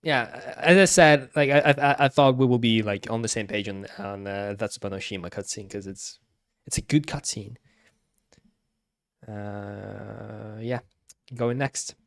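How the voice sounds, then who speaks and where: A man giving casual livestream commentary, halting and repeating his phrases as he thinks out loud. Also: fairly low pitch, fairly even intonation, gravelly. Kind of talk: casual livestream commentary, ranking game cutscenes.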